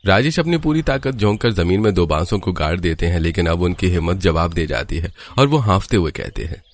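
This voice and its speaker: A man's voice: deep voice, animated, a little fast. A man narrating a scripted cartoon storybook tale as the narrator.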